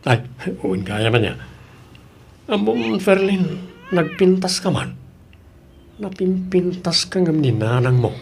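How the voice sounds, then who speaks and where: A man playing a sly, ingratiating boyfriend in an audio drama, flattering and wheedling as he talks. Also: a little high, slow pace, gravelly, pauses often, a little hiss or hum, exaggerated voice. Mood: creepy wheedling.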